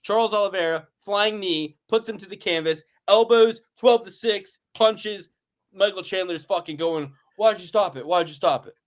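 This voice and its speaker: A man hosting a casual fight-betting podcast, playful and animated, swearing as he teases his co-hosts. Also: high voice, normal speed. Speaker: podcast host.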